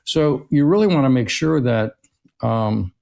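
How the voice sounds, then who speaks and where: A man's voice: medium pitch, unhurried. A middle-aged male physician being interviewed, explaining health topics at length and often checking in with "right?". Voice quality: gravelly, husky. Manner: steady explainer who often tags "right?". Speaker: longevity physician guest. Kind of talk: conversational explaining.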